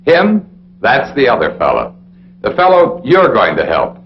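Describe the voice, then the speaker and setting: An American man narrating a 1960s military training film in an authoritative, deliberate, instructional way, speaking straight to the listener. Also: medium pitch, wildly swooping pitch. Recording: dull, noisy 1960s film soundtrack with hum.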